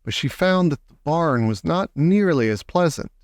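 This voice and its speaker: A man narrating a children's story from a script in a measured, clearly worded way. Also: fairly high pitch, lively intonation, no echo, clean studio sound. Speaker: audiobook narrator.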